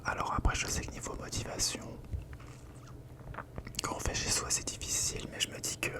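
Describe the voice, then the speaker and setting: A young man whispering a rambling ASMR chat from home, slangy and full of fillers, close to the microphone. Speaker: ASMR creator.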